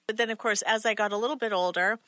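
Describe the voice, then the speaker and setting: A woman's voice: medium pitch, steady tone, quick pace, sharp tone, no echo. A woman co-hosting a casual chat, reacting playfully and recalling her own past. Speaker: co-host.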